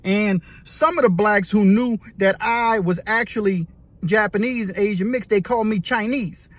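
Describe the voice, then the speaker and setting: Middle-aged New man with a fairly high voice, telling a rambling, casual story, full of asides. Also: moderate pace. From West Bank of New Orleans, Louisiana. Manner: rambling, conversational, fond of "you know what I mean". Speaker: former prisoner telling his story.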